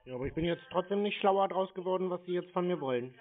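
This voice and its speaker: A man's voice: high voice, fast talker, soft background music. A man being obstinate and querulous in an argumentative phone conversation. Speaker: scam-baiting call recipient. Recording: narrow, tinny phone sound.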